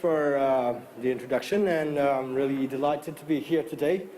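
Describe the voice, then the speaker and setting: A man in his forties with a French accent, giving a conference talk from a podium in a large hall, halting with many fillers and restarts. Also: mid-range voice, darkish tone, a little hiss or hum. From France. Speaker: conference speaker. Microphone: podium microphone.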